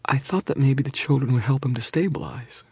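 A man voicing a frightened young mother who pleads and confesses in a rush, then drops to a hush. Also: mid-range voice, husky, dry recording, pitched above the performer's own voice. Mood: fearful confession. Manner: breathless pleading, rushing then hushed.

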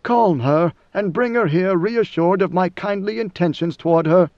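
A cold, imperious god-emperor, voiced by a male narrator, speaking with lofty, measured command. The voice sits high. The recording is dry and slightly muffled. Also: no echo.

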